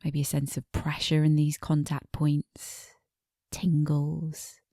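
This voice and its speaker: A woman meditation teacher guiding a body scan in a gentle, unhurried manner, pausing between short phrases, with soft phrase endings that fade toward breathiness. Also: low voice, creaky, no echo, clean studio sound.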